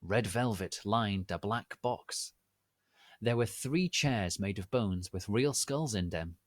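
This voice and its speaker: Slow, low-voiced man reading dramatically. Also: no echo, clean studio sound. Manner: deliberate, theatrical, reads misspellings exactly as written. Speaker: narrator, fan fiction reader. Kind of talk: dramatic reading of fan fiction.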